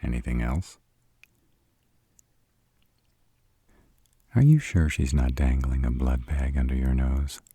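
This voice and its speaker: A man playing a sarcastic, brooding vampire with a soft spot, dry and grudging, in a roleplay audio drama. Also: rumbling low, animated, normal speed, breathy edge, dry recording, exaggerated voice. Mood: suspicious, sardonic.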